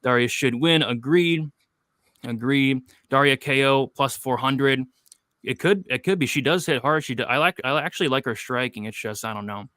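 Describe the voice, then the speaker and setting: A man's voice: mid-range voice, moderate pace, pushed and bright, no room sound, studio quality. A man in his twenties hosting a casual betting livestream, reading chat questions and joking loosely. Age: 20s. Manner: loose, jokey, reads chat questions aloud. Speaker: host of a betting show.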